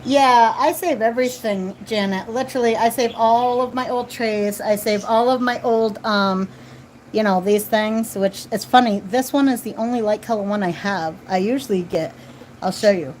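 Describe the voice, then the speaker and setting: Woman in her forties, livestream host, fairly high voice, chatty and repetitive, faint background noise. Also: moderate pace, low-quality recording. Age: 40s. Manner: chatty, repeats phrases and tag questions. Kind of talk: casual live chat with viewers. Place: at home, children nearby.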